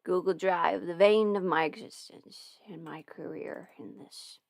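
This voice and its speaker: A woman's voice: on the low side, unhurried, vocal fry, dry recording, studio quality. A woman casually rambling as a livestream host, hesitant, interrupting herself and thinking aloud as she goes. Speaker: livestream host, audio roleplay creator.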